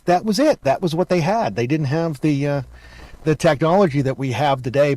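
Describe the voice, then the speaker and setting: A man hosting a casual interview, speaking in reflective, halting phrases. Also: on the high side, a little mellow, no room sound. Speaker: show host, radio broadcaster.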